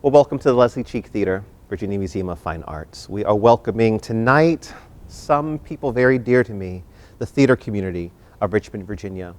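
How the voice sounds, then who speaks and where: A man hosting a live theater discussion as moderator, speaking with theatrical, deliberate emphasis. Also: fairly low pitch, lively intonation, a little mellow. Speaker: event host and moderator.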